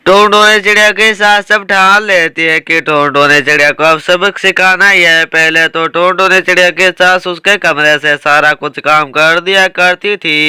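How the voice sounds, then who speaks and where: A woman narrating a cartoon story with steady, even storytelling. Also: fairly low pitch, clean tone, dry recording, clean studio sound.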